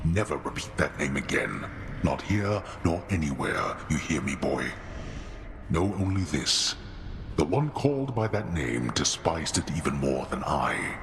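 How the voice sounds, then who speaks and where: A man's voice: extremely low pitch, big pitch swings, measured pace, vocal fry, roomy sound, over music, heavily acted. A man voicing a stern, contemptuous god-king father in a video game, speaking in an imperious, dismissive, commanding way. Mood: cold anger.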